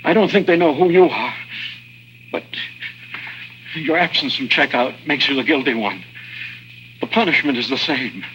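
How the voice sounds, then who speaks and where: An actor playing an earnest, self-sacrificing prisoner and secret informant in a 1950s adventure drama, grave and formal. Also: male voice, medium pitch, lively intonation, normal speed, long pauses, pushed and bright, highs cut off, slight background noise. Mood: anxious warning. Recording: vintage 1950s TV soundtrack, music behind.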